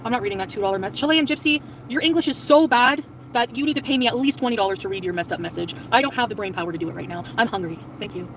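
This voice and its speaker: A woman's voice: on the high side, racing, few pauses, a bit bright, distant mic, slight background noise. A woman in her forties live-streaming outdoors, complaining and ranting. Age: 40s. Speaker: livestreamer.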